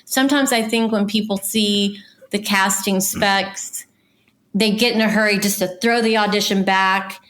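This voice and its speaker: A woman's voice: mid-range voice, fairly even intonation, unhurried, a bit bright. A woman speaking conversationally as a casting director in an interview, explaining things and offering practical advice.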